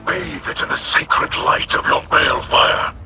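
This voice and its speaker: A man voicing a proud alien warrior-noble in a video game, formal and solemn, with a breathy, hoarse rasp. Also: lightly acted. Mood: stern, indignant. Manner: formal, solemn, measured diction. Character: grave, proud male alien warrior-noble of a fallen house. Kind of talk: scripted video game voice acting. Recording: game music behind the voice.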